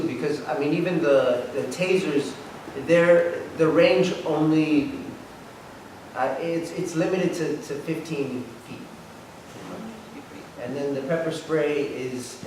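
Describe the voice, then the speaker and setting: A man talking informally in a post-show discussion, thinking aloud in a hesitant way. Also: a little high. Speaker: member of a theater production team. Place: large echoey indoor arts venue. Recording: very echoey hall, distant mic, faint noise.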